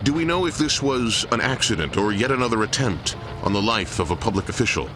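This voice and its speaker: An actor playing a composed TV news anchor, speaking in a formal, measured, authoritative broadcast style. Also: male voice, lowish voice, lively intonation, lightly acted. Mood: composed, serious. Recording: music behind the voice.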